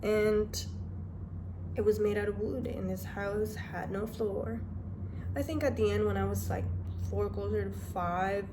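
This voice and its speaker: A young woman from Honduras frankly telling her life story in a halting, candid way. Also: mid-range voice, steady tone, noisy background. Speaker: vlogger. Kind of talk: personal storytelling.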